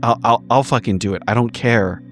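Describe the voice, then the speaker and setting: A man with a mid-pitched voice improvises a roleplay scene. He speaks in halting, repeated bursts peppered with profanity, and music plays clearly underneath. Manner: halting, repetitive, profanity-laced speech. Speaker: tabletop roleplay podcast player.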